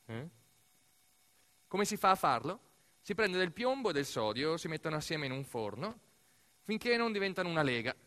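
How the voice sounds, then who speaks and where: An Italian man telling a theatrical monologue as a stage narrator, expressive and playful, with dramatic emphasis and deliberate pauses. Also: a little high.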